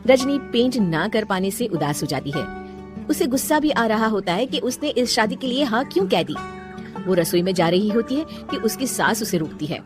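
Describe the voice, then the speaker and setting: A woman tells a scripted cartoon tale in a medium-pitched voice. Her intonation moves up and down in an animated way, and soft music plays behind her. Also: normal speed. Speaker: storyteller. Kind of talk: scripted cartoon story narration.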